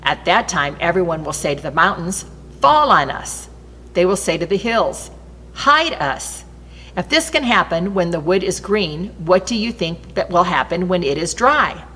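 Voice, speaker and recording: A woman's voice: lowish voice, lively intonation, normal speed, pushed and bright, a little hiss or hum. A woman reading the words of a solemn, earnest male prophet and teacher in a Bible story. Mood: solemn warning. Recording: long reverberant hall echo.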